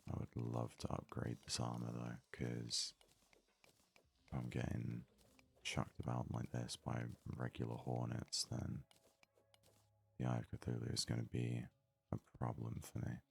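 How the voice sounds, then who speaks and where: Very deep, creaky, hushed man. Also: monotone, measured pace, long pauses. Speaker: gaming video host. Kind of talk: soft-spoken ASMR game commentary.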